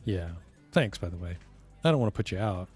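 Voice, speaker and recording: A man acts a good-natured, exasperated young friend in an audio drama. His lowish voice moves around a lot as he grumbles wryly and casually. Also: lively intonation, a little fast, a little mellow, husky, faint music behind.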